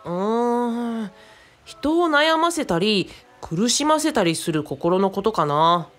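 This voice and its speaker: Lively, knowledgeable companion, played by a woman. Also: on the high side, takes it slowly, faint music behind. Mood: explaining. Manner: casual, explanatory, thinking aloud. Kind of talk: conversational radio drama acting.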